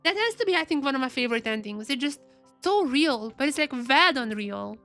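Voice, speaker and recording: A woman's voice: high voice, expressive, brisk, fairly bright tone, faint music behind. A woman live streaming and reacting casually to a game, chatty and repeating phrases for emphasis.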